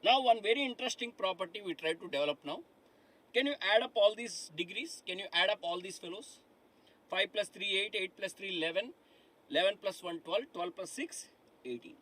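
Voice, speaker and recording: A man's voice: high voice, a little fast, fairly bright tone, poor audio. A middle-aged man teaching a live online lecture, who repeats himself, asks the class questions and keeps calling them "my dear friends". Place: studio in front of a dark digital board.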